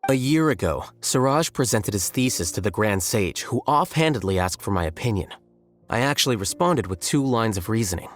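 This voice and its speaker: A man playing an aloof, coolly logical young scholar in a video game, speaking in a measured, deadpan manner with dry sarcasm. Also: lowish voice, natural voice. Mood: detached, matter-of-fact. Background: music.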